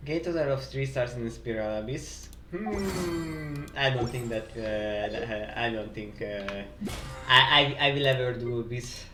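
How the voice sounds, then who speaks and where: A man giving casual live commentary on a game as a streamer, haltingly repeating phrases as he thinks aloud. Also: medium pitch, background noise. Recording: roomy sound with game music. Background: video game music.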